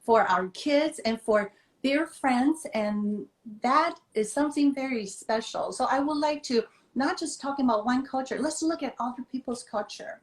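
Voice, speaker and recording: A woman's voice: high voice, normal speed. Taiwanese-born woman, earnest and echoey. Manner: thoughtful, earnest, slightly non-native phrasing with many fillers. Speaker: guest, children's author. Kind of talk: conversational interview on a live video stream. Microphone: video call. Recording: echoey room over a video call.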